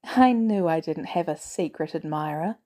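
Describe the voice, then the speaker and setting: Professional woman, voiced by a female reader: composed, smooth, mellow, dryly affectionate, fiction reading. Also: deep voice, normal speed, voice pushed down, close to an everyday voice. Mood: gently amused.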